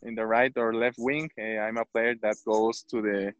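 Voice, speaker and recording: A footballer from Mexico, speaking English with a Mexican Spanish accent, hesitant and searching for words. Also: male voice, on the low side, flat delivery, normal speed, dry recording, muffled recording. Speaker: football player. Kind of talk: interview.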